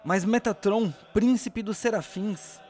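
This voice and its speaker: Man as indignant hopeful angel, earnest, pleading, higher register, audio drama. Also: slow pace, a little mellow, faint music behind.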